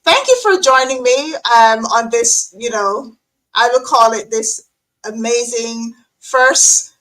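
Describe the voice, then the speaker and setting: A chatty woman host with a slightly high voice, talking easily with plenty of ums and restarts. She sounds like she is on a remote video call. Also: takes it slowly, fairly bright tone, rough recording. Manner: chatty, many fillers and restarts. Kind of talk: casual interview chat.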